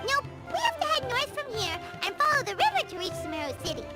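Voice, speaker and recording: A woman voicing a tiny, cheerful, childlike fairy companion in an anime-style video game, with a squeaky voice. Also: big pitch swings, fast. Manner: refers to self in third person, chirpy. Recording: music playing behind the voice.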